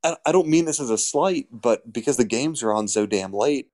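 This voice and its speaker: A man appearing as a guest on a podcast, chatting in a relaxed, rambling way. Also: mid-range voice, moderate pace, dry recording. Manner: relaxed, rambling, many fillers. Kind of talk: casual chat.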